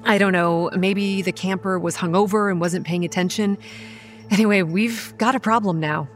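A woman playing a pragmatic young campground manager, narrating a horror audio drama in a measured, matter-of-fact and wry way. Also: mid-range voice, steady tone, soft background music, natural voice. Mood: worried, businesslike. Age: young adult. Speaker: narrator and lead character. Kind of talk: first-person scripted horror narration and dialogue.

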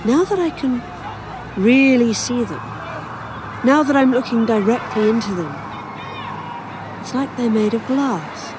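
Female author role, audio drama: inquisitive, rambling, dryly witty, fairly high voice, slight background ambience. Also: lively intonation, measured pace, pauses often, noisy background. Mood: awed. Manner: hesitant, wry, musing. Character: inquisitive, wry, rambling woman author facing death.

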